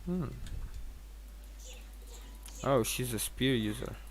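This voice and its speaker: A man's voice: medium pitch, expressive, slow pace, a little hiss or hum. A tired man giving casual gameplay commentary as a streamer, rambling and thinking aloud with some casual swearing. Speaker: game streamer.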